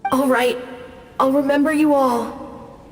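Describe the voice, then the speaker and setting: A performer voicing a gentle departing ghost who speaks softly and apologetically. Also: male voice, very high-pitched, steady tone, slight background noise. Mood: wistful. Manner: soft, slow, apologetic.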